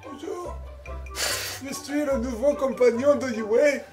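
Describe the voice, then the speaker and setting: Squeaky mascot with a Mexican accent, put on by a man, slow, high, sing-song. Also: fairly even intonation, over music. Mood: chirpy. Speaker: co-host.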